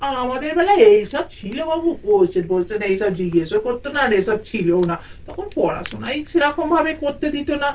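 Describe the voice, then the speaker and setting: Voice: medium pitch, big pitch swings, brisk, hardly any pauses, distant mic, slight background noise, low-quality recording. A performer voicing an elderly mother-in-law doll in a puppet story, rambling wistfully about the old days. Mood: wistful, admiring.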